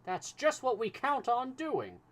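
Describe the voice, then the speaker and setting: A man's voice: extremely high pitch, huge pitch swings, unhurried, a bit bright, voice pushed up, heavily acted. A man reading aloud who voices a scheming middle-aged detective, urgent and emphatic, drawing out his words.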